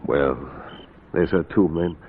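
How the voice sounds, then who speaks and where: Distraught gentleman doctor, dramatic, emotional, 1940s radio drama, tinny recording, long reverb. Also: rumbling low, slow, a little hiss or hum. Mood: uneasy, bitter. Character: anguished, skeptical gentleman doctor.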